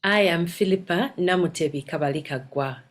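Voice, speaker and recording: A woman with a fairly low voice speaks deliberately. She names place after place at an even pace. The delivery stays steady. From Uganda. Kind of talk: spoken-word introduction.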